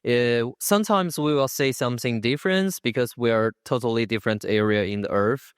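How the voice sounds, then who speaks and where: A teenage boy, an international student, speaking slowly and searching for words. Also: mid-range voice, clear, resonant tone, dry recording, studio quality. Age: teens. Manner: slow, searching for words, laughs at himself. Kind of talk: student panel answers.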